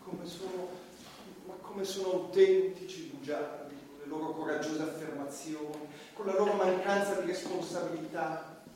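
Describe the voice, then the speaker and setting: An actor playing a defiant, impassioned comedian on trial, arguing in an emphatic, provocative and pleading theatrical manner. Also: male voice, fairly high pitch, fairly even intonation, moderate pace, a little mellow, natural voice. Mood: bitter, insistent. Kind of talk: theatrical stage monologue and courtroom argument. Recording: very echoey stage, distant mic, background noise.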